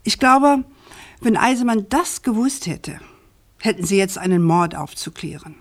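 An actress playing a persistent, assertive journalist in a radio crime drama, speaking in an articulate, pointed way. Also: female voice, mid-range voice, expressive, a little slow. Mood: grave, pointed.

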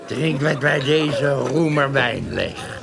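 A man's voice: mid-range voice, expressive, takes it slowly, creaky, very noisy. An actor playing a lecherous, drunken conjurer and swindler who stammers and repeats his words in a Dutch radio play.

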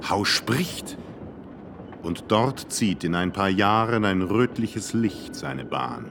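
An actor playing a weary small-time crook and variety artist in a German radio play, theatrical and resigned. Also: male voice, deep voice, animated, slow, background noise, full character voice.

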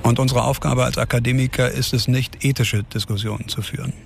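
An actor playing a resolute scientist and doctor in a radio drama, speaking solemnly and emphatically in a public-speech style. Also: male voice, mid-range voice, steady tone, brisk, gravelly, a little hiss or hum, natural voice. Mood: defiant, solemn. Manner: solemn, emphatic, repeating phrases. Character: resolute male scientist and doctor defending his experiment. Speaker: scientist and physician character.

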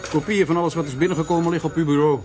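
A man's voice: on the high side, fairly even intonation, rapid-fire, over music, close to an everyday voice. A man playing a dutiful police subordinate in a radio drama, reporting factually and deferentially to his superior. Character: dutiful, matter-of-fact male police subordinate. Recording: noisy, vehicle ambience behind.

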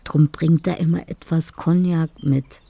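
Dreamy, mellow, creaky-voiced woman. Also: sits low, fairly even intonation, measured pace, dry recording, heavily acted. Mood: dreamy, musing. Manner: poetic, musing. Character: dreamy, lonely woman narrating her love letters. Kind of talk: literary radio drama monologue.

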